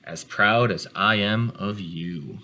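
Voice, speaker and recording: A man voicing a gentle, fond father turtle in a storybook, kindly and encouraging. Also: lowish voice, takes it slowly, voice pushed down.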